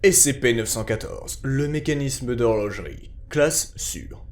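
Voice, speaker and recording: Casting: male narrator, average pitch, formal scripted report reading. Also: unhurried.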